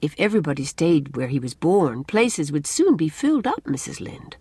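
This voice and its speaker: A woman voicing a cheerful, romantic young bride-to-be in an audiobook, expressive and lyrical with a teasing touch. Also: sits low, moderate pace, slightly rough, no echo, heavily acted. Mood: bright. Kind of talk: audiobook character dialogue.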